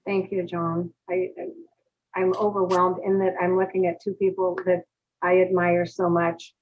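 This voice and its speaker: A woman's voice: lowish voice, a little slow, slight background noise. A woman accepting an award over a video call, humble and overwhelmed. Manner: humble, overwhelmed, flat delivery. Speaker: award winner. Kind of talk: emotional thank-you speech. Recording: tinny phone-like video call audio.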